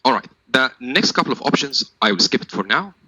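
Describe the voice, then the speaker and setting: A man's voice: medium pitch, expressive, fairly quick, sharp tone, breathy edge, dry recording, poor audio. A man working as a technical trainer, giving a step-by-step product tutorial in a methodical, instructional manner.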